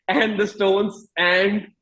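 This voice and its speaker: A man in his thirties with an Indian accent, speaking candidly and reflectively as an interview guest, often halting and rephrasing his thoughts. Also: sits high, level tone, a crowd in the background. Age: 30s.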